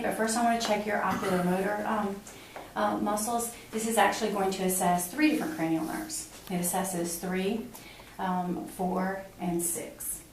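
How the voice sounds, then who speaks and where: A middle-aged woman clinician explaining a physical exam step by step, in an instructional way with hesitant pauses. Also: medium pitch. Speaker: clinician examining a patient. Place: small hard-walled exam room indoors. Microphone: camera mic a few feet away. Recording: echoey room, distant mic, clear background noise.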